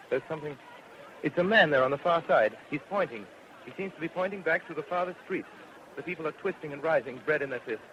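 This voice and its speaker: An actor playing a watchful radio announcer, giving clipped eyewitness commentary from a city square in an old radio drama. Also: male voice, a little high, natural voice. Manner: clipped, present-tense observation. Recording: muffled, very noisy old radio recording.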